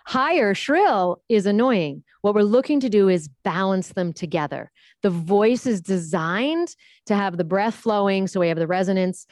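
A woman talks through how the voice works like a coach. She explains patiently and jumps her pitch high or low to demonstrate. The sound is dry, with no room echo. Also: darkish tone. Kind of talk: explanatory interview talk with vocal demonstrations.

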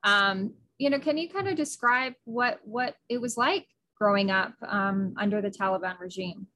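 A woman's voice: medium pitch, moderate pace, bright, forceful tone. A middle-aged woman hosting a podcast interview, enthusiastic and talkative, with frequent fillers and restarts. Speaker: podcast host. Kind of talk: casual interview.

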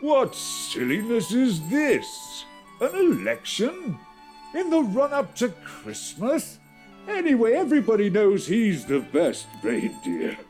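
A man's voice: high-pitched, wildly swooping pitch, slow, a little mellow, soft background music, pitched above the performer's own voice, exaggerated voice. A man doing a chuckling old Santa. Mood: bemused. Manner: chuckling, folksy storybook delivery.

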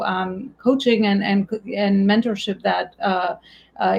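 A woman with an Albanian accent, a native Albanian speaker, hosting an interview and asking questions in a warm, halting way with many fillers. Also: medium pitch, creaky. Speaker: podcast host.

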